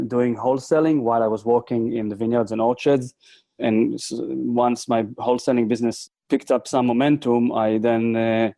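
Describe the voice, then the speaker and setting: A middle-aged man speaks as a podcast guest, his voice mellow and dark. He tells his story haltingly, with fillers and restarts. The recording is dry and close. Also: mid-range voice, dry recording. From Israel. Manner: hesitant, frequent restarts and fillers. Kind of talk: casual interview storytelling.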